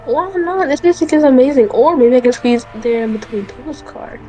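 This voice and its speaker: A woman playing a bossy, spoiled young rich woman in an improvised game roleplay, sassy and quick to blame others. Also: sits high, animated, mellow, faint music behind. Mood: breezy. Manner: sassy, demanding, dismissive.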